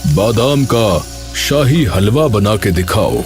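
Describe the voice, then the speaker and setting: A man plays a senior royal kitchen overseer in an animated tale, stern yet kindly. The voice is low and swings expressively, taking its time over weighty questions. Music plays faintly underneath. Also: slow. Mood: stern, testing. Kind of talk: Hindi animated story dialogue.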